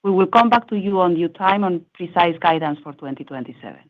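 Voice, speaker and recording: A woman executive giving measured, structured, formal answers on a corporate earnings call. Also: fairly low pitch, animated. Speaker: company executive answering analysts. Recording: muffled lo-fi conference call audio.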